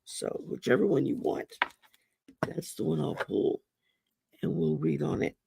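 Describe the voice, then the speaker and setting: Woman, gravelly, raspy, slow-talking, folksy livestream host, card reader. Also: deep voice, dark tone, a little hiss or hum. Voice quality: gravelly voice from damaged vocal cords. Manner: slow, folksy, chatty with many ums. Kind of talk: casual livestream chat. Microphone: computer mic on a livestream.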